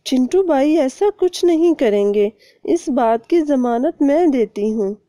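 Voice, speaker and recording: A woman's voice: sits high, lively intonation, takes it slowly, warm tone, no echo, studio quality, pitched above the performer's own voice. A woman voicing a kind, caring little female bird in a cartoon story, speaking earnestly and politely. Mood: firm, reassuring.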